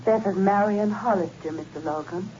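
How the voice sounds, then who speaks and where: An actress playing the seductive ghost of a murdered woman in a 1960s radio drama, speaking softly and teasingly. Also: mid-range voice, moderate pace, close to an everyday voice. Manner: soft, teasing and coaxing. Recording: dull, hissy old radio recording.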